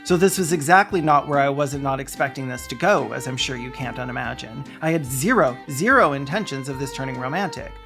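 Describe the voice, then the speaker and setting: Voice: mid-range voice, brisk, creaky, hardly any pauses. Sardonic video essayist over music. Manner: sardonic, theatrical, dry joking asides. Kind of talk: scripted comedic commentary narration.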